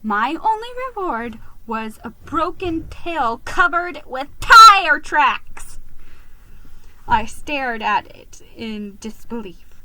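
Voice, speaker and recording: A woman's voice: high voice, big pitch swings, takes it slowly, noisy background, full character voice. A woman voicing a beleaguered male mouse who tells his own story in a dramatic, self-pitying manner. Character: beleaguered, melodramatic male mouse narrator, a publisher.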